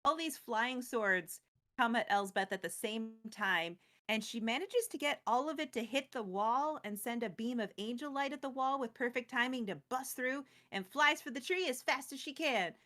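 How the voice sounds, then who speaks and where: A woman speaks in a somewhat high voice. She co-hosts a recap show and retells the story casually. Her delivery is expressive and slangy, with a joking streak. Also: moderate pace. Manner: expressive, slangy, acts out quotes playfully.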